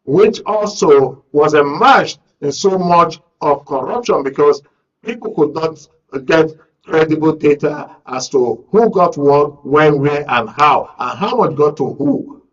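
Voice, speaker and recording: A Nigerian man hosts a live current affairs programme in a fairly high voice. He speaks deliberately, using rhetorical questions and repeated phrases to press his points. The audio is slightly dull and lo-fi. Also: lively intonation. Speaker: radio talk show host.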